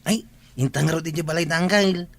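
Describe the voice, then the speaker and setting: A man's voice: a little high, normal speed, gravelly. A man playing an eager village farmhand in a comedy radio drama, speaking with an Ilocano accent. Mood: insistent.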